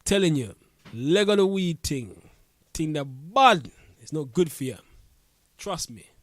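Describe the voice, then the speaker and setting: A man's voice: on the high side, animated, a little slow, dry recording. A man hosting a radio talk show, blocked up with a cold, chatting loosely in street slang. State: blocked-up nose. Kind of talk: casual radio chat. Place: radio studio. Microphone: studio mic.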